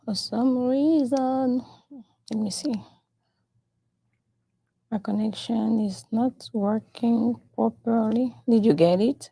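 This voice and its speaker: A French-speaking woman hosting a live-streamed interview, talking in a halting, unhurried way and moving between English and French. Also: on the high side, vocal fry, mellow, slightly rough, low-quality recording. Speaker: host of a live show.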